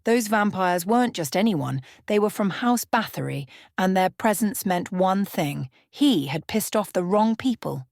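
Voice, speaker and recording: A woman's voice: medium pitch, expressive, measured pace, gravelly, few pauses, a little mellow, no room sound, studio quality. A woman reading a scripted bedtime story as a storyteller in an ASMR style.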